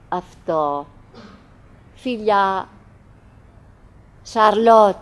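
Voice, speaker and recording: An actress playing a wistful middle-aged woman who chatters bravely to her husband in halting, theatrical radio drama monologue. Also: mid-range voice, natural voice. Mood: musing, wistful. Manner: halting, fragmented, dramatic, swinging between murmurs and calls.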